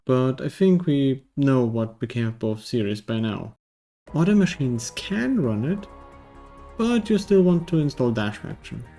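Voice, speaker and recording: A man's voice: mid-range voice, animated, measured pace, mellow, faint music behind. A man narrating a scripted video game review in a wry, tongue-in-cheek way.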